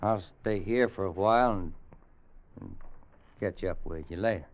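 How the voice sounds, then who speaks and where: An actor playing a laconic, kindly cowboy who narrates and talks in folksy American western speech, in old-time radio style. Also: male voice, low-pitched, moderate pace. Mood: subdued, kindly. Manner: folksy, hesitant drawling cowboy talk. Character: laconic grown cowboy narrating, gentle with an orphaned boy. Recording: muffled, noisy old radio recording.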